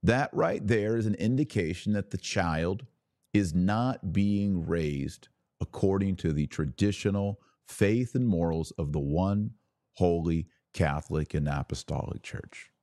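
A man's voice: deep voice, a little slow, warm tone, no room sound, studio quality. A man hosting an opinionated religious podcast, delivering an emphatic, preachy monologue addressed straight to his audience. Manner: emphatic, preachy, rhetorical questions and asides. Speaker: podcast host and religious commentator.

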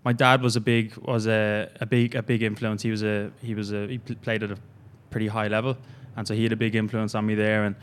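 A young man with an Irish accent, a gym coach, telling his story in a halting, rambling way with many fillers in a casual interview. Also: lowish voice, little pitch movement. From Ireland.

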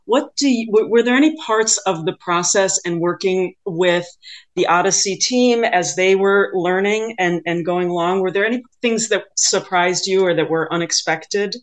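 Low-voiced woman interviewing, thoughtful. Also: moderate pace, low-quality recording. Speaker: podcast interviewer. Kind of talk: conversational interview.

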